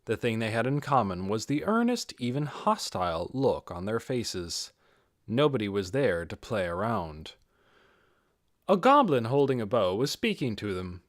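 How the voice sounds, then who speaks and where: A man reading a scripted fantasy audiobook in an expressive, dramatic storytelling manner. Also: lowish voice, a little slow, dry recording, studio quality. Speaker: audiobook reader.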